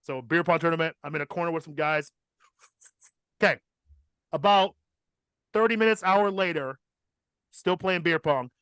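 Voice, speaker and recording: High-pitched man telling a casual story. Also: normal speed, pauses often, fairly bright tone, clean tone, no room sound. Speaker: podcast host telling a personal story. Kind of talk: casual, profane comic storytelling.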